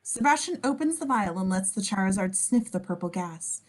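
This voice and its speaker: A woman with a mid-pitched voice reads stage directions as a narrator. Her delivery is that of an amateur table read, with small stumbles and corrections. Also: expressive, normal speed, poor audio. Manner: stumbles over words and self-corrects. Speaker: scene description reader.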